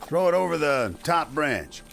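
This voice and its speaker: A man acting a gruff, businesslike sheriff in a Western audio drama, giving curt, folksy commands. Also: fairly high pitch, big pitch swings, measured pace, a bit bright, soft background music, exaggerated voice. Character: gruff, businesslike frontier sheriff running a hanging.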